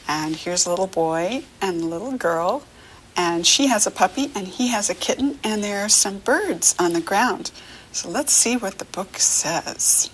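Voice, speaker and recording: American woman, children's read-aloud teacher. Unhurried, very lively pitch, encouraging and full of questions. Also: a little hiss or hum, rough recording. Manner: encouraging, asks questions and leaves room to answer; animated, sing-song child-directed talk. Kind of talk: read-aloud picture-book lesson for young children.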